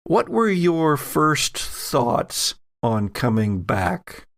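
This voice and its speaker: A man narrating a tabletop horror game as its game master, in a measured, dramatic storytelling manner. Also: a little high, sing-song, no echo, studio quality. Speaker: game master and podcast host.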